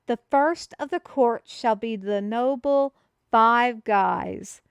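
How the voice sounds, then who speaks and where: A woman playing an excitable fantasy messenger who proclaims a prophecy to the listener in grandiose, formal, old-fashioned phrasing, as a scripted audio roleplay. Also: fairly high pitch, takes it slowly, vocal fry, smooth, no room sound. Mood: grand, proclaiming. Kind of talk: theatrical scripted roleplay monologue addressed to the listener.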